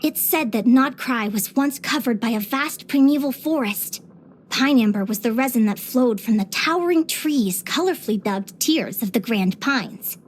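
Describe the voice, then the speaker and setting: Game role: bubbly young naturalist adventurer girl played by a woman, high-pitched, expressive, curious and sweet. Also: moderate pace, slight background noise, lightly acted. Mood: wondering, earnest. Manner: expressive, chirpy, with sighs and giggles. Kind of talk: scripted video game character voice lines.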